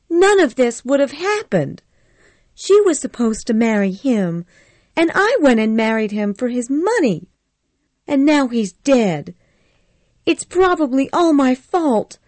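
A woman's voice: sits high, dry recording, pitched above the performer's own voice, heavily acted. A woman reading a story aloud, voicing a headstrong young Southern belle who frets and pleads.

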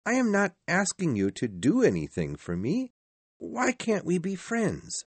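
A man voicing a cheerful, warm-hearted young nephew in a storybook, earnest and exclaiming as he pleads with his uncle. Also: high-pitched, huge pitch swings, a little slow, husky, no room sound, voice pushed up, exaggerated voice. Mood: hurt but kindly. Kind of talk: storybook character voice.

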